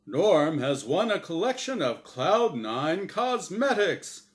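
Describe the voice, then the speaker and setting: Man doing a slow, grandiose prize announcer. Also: fairly high pitch, sing-song, voice pushed up. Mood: showy pitch. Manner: showy sales delivery. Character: smooth, grandiose game-show prize announcer.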